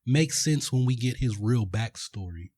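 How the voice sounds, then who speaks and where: A man in the middle of a casual podcast recap, rambling through a film plot with plenty of ums and restarts. His voice sits at an ordinary middle pitch. The recording is dry and close, with no room sound. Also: expressive, measured pace, dark tone, husky, dry recording. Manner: rambling, filler-heavy storytelling. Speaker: podcast host.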